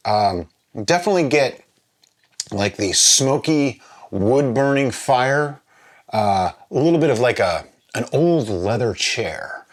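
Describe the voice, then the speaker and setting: Guy doing a casual tasting vlog, really animated up and down voice, thinks out loud and explains stuff. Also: medium pitch. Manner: musing, explanatory, with pauses and checks of "okay?". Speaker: host of a drinks review vlog. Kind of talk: casual chat, tasting review.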